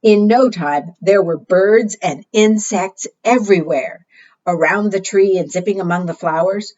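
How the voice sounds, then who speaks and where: A woman leading a preschool storytime, reading aloud and talking to young children in an expressive, deliberate, teacherly way. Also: medium pitch. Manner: asks the young listeners frequent simple questions. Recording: muffled, distant microphone.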